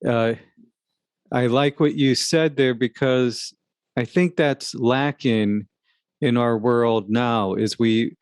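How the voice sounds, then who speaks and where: Slow-talking man with a smooth voice. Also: mid-range voice, fairly even intonation, darkish tone, no room sound, clean studio sound. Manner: hesitant, searching for words. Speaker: podcast co-host. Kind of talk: casual reflective chat.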